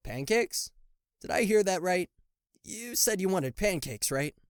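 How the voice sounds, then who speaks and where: A man performs a sarcastic, cocky devil for a roleplay audio. His pitch swings widely as he teases and blusters theatrically, tripping into stammers. The recording is dry, with no room sound. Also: expressive, measured pace, no room sound. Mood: playful, boastful. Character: cocky, sarcastic male devil, a fallen angel, secretly fond. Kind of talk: roleplay audio acting addressed to the listener.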